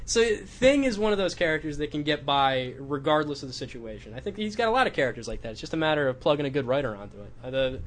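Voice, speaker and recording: A man's voice: a little high, lively intonation, fast talker, few pauses. A man chatting casually as a podcast panelist, colloquial and irreverent in his way of talking. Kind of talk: casual, joking comics discussion.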